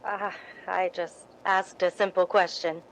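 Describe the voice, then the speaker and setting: A woman's voice: lowish voice, level tone, a little slow, muffled recording, a little hiss or hum. A woman acting an earnest, humble young theater devotee in a 1950s-style radio drama, with a modest, hesitant way of speaking. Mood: earnest, curious. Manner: modest, hesitant, wistful storytelling.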